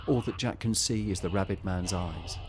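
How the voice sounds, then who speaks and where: A man narrating a horror audio drama in a grim, measured, suspenseful manner. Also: deep voice, lightly acted. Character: grim male narrator of a zombie-outbreak story. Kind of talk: scripted dramatic narration, present tense.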